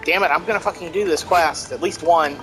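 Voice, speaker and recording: A man's voice: a little high, fairly quick, a bit bright, rough recording. A man giving casual let's play commentary in a wry, mock-exasperated way, with game music behind. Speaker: gaming let's player.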